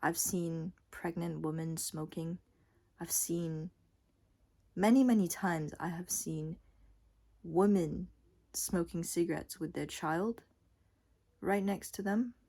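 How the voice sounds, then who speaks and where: A woman's voice: on the low side, takes it slowly, gravelly, pauses often, mellow. A young adult woman vlogger giving a casual, unscripted monologue in a rambling, blunt way with casual swearing. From Scotland and Thailand.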